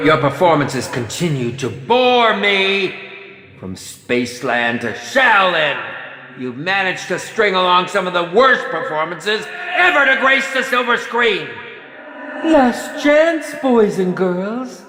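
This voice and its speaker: An actor playing a sadistic, theatrical horror film director in a video game, with flamboyant delivery and gleeful menace. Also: male voice, high-pitched. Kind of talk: video game villain voice acting. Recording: echoing long reverb, music behind.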